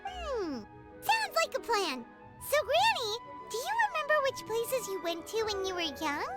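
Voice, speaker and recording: A woman's voice: very high voice, big pitch swings, moderate pace, over loud music. A woman voicing a tiny, perky, childlike fairy companion in a video game, chirpy and inquisitive. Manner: chirpy, refers to self by name, inquisitive.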